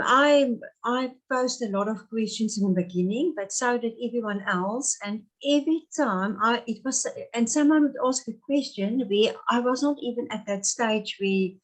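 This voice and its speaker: A woman's voice: a little high, unhurried, rough recording. A woman in her fifties with an Afrikaans accent, a native Afrikaans speaker, talking earnestly as an interview guest, with many restarts and fillers. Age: 50s. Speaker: guest, therapist. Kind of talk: casual interview chat.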